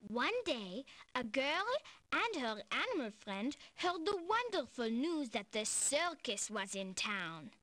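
High-pitched little French schoolgirl, cheerful, polite, slow and lilting, children's game, music underneath. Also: big pitch swings, a bit bright, full character voice. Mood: storytelling. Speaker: character narrator in a children's game. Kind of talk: children's storytelling.

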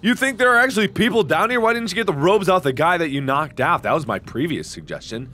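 A man with a hoarse voice giving jokey let's-play commentary into a headset, with sudden excited yelps. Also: fairly high pitch, fast talker. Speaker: gaming video host.

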